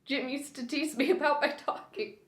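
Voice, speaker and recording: Woman acting a halting grief-stricken widow, roomy echo. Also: sits high, pitched above the performer's own voice, close to an everyday voice. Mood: pained, bitter. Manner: halting, short broken phrases, sighing, swinging from hushed to pained. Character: grief-sealed reclusive widow, long silent, haltingly breaking her silence. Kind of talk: dramatic stage monologue. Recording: roomy, echoing indoor sound.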